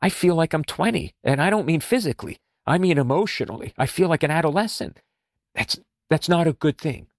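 A man hosting a podcast, talking in a gentle, reflective way with lots of "you know" fillers. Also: on the high side, lively intonation, a little fast, no echo, clean studio sound. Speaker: podcast host. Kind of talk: reflective spoken talk.

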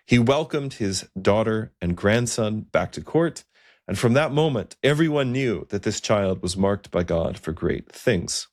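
A man's voice: on the low side, expressive, a little slow. A man hosting a podcast, telling historical stories in an earnest, explanatory, partly scripted way. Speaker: podcast host. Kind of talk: semi-scripted historical storytelling.

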